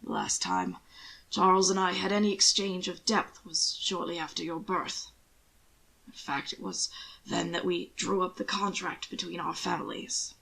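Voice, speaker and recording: Old duke acted by a woman, storybook figure, gravelly, lowered pitch, somber, weary, formal. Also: expressive, pushed and bright, exaggerated voice, poor audio. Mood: somber, wistful. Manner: slow, formal, wistful. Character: old, somber, grieving English duke, a grandfather.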